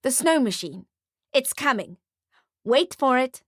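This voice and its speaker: A woman's voice: high-pitched, animated, unhurried, a bit bright, dry recording, studio quality, pitched above the performer's own voice, heavily acted. A woman voicing a pushy male photographer with an emphatic salesman's manner in an audiobook story. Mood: knowing.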